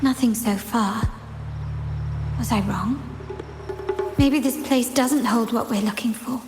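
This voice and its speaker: An actress voicing a composed, inquisitive young woman investigator in a video game, musing to herself in a measured way. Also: on the high side. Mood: puzzled, doubtful. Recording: echoey voice under loud music. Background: loud game music.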